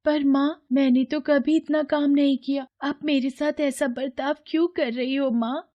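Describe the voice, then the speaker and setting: Woman voicing a high-pitched, plaintive little princess. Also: steady tone, moderate pace, warm tone, dull audio, natural voice. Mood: sad, pleading. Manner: childlike, plaintive. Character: innocent, sorrowful young princess girl pleading with parents. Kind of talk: Hindi cartoon fairy-tale dialogue. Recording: clear background noise.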